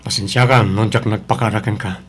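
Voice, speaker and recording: A man's voice: fairly low pitch, steady tone, a little fast, natural voice. A man with an Ilocano accent playing a stubborn son and cold husband in an audio drama. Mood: firm, cold. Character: stubborn adult son, cold husband leaving his pregnant wife.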